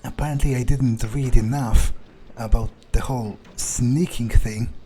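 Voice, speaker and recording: Slow, mellow man as a musing vampire, noisy background. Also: mid-range voice, fairly even intonation, close to an everyday voice. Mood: musing, wary. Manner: halting, musing, muttering with hums. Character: wary male vampire muttering his thoughts while exploring. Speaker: gameplay streamer playing a character. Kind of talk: in-character roleplay commentary, thinking aloud.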